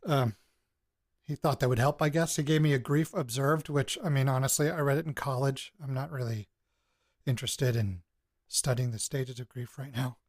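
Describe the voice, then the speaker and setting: An actor playing a jittery, bitter young man in an audio drama, speaking in a halting, sardonic, conversational way. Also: mid-range voice, steady tone, dry recording, clean studio sound, natural voice. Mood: weary, skeptical. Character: jittery, bitter young man investigating his grandparents' past. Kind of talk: audio drama, naturalistic spoken recorded diary.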